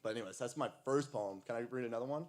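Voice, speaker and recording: A man's voice: mid-range voice, racing, crowd noise. An American man who grew up in Texas and East Africa, performing as an amateur poet with casual introductions at an open mic. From United States (Texas), later East Africa. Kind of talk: poetry recital with casual introductions. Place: live open mic event at a coffee venue.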